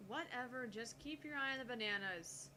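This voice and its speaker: A woman's voice: on the high side, moderate pace, bright, forceful tone. Woman as a skeptical, snippy young genius scientist. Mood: brisk, commanding. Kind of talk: visual novel character dialogue read aloud. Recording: clear background noise.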